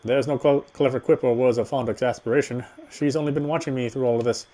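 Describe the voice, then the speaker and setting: A man's voice: medium pitch, fairly even intonation, fast, warm tone. A man reading a horror visual novel aloud as a dramatic first-person narrator.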